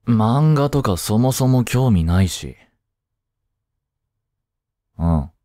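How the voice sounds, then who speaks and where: A man playing an aloof young boyfriend in an intimate voice drama, grumpy but soft-hearted, speaking haltingly close to the mic. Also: medium pitch, expressive, no room sound. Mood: curt, dismissive. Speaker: amateur voice drama creator and actor.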